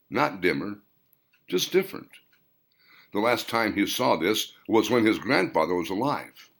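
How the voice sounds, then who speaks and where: A man narrating his own novel as an audiobook, telling it expressively. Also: vocal fry. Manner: expressive storytelling with wide pitch swings. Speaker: author and narrator.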